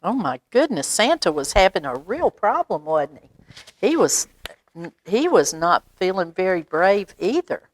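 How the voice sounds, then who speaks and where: Woman, children's storytime reader. Animated pitch, leisurely pace, dry close sound. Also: dry recording. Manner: expressive, pauses for asides and questions to young listeners. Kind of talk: read-aloud picture book storytelling for children.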